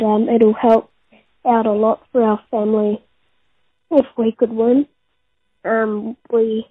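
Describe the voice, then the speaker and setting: Husky, flat-toned woman on phone voicemail. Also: on the high side. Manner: hesitant, halting. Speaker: fan leaving a voicemail. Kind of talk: plain, earnest fan message. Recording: echoey, tinny phone voicemail.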